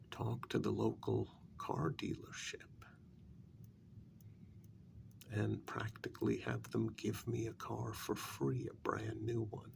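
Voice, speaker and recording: A man playing a bitter, sarcastic kidnapper in a soft-spoken ASMR role-play, halting and half-whispered, with a gravelly voice. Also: lowish voice, noisy background. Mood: smug, accusing. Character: bitter, sarcastic male kidnapper confronting a demon.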